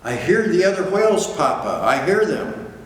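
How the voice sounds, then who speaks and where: A man's voice: sits high, big pitch swings, moderate pace, pitched above the performer's own voice. An older man voicing a curious, trusting young male whale calf with earnest questions in a storybook read-aloud. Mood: excited. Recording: distant, very echoey hall, faint noise.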